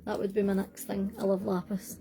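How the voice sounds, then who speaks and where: A woman's voice: medium pitch, completely flat delivery, brisk, mellow. A young woman with a Scottish accent, hosting a casual livestream from home, chatting in a rambling way with lots of fillers and self-corrections while answering viewers' comments. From Scotland. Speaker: livestream host. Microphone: phone.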